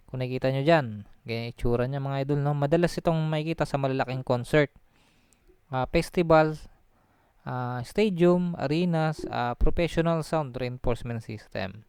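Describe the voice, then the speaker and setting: A man's voice: medium pitch, darkish tone, clear, resonant tone, dry recording, studio quality. A man talking through a casual explainer, chatty, full of fillers and restarts, dropping his voice on tag phrases. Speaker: channel host explaining audio gear. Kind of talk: casual explainer, voice-over on slides.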